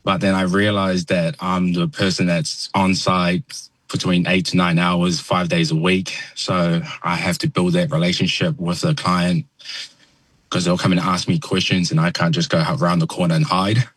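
A young man from New Zealand with a New Zealand accent, a soft-spoken, halting radio interview guest who fills pauses with ums. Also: deep voice, level tone, husky, no echo. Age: young adult. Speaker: guest landscaper.

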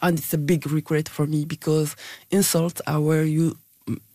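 A woman's voice: low-pitched, little pitch movement, moderate pace, dark tone, no echo, clean studio sound. A middle-aged woman from West Africa with a West African French accent, a native French speaker answering interview questions conversationally, searching for words. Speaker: interview guest, election candidate.

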